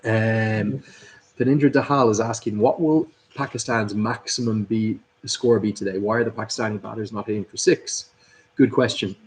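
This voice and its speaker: A man's voice: lowish voice, steady tone, normal speed, a little hiss or hum. A man hosting a live cricket watchalong, commentating and chatting through viewer comments. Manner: chatty, upbeat, reads out viewers' names and greetings. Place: at a cricket club.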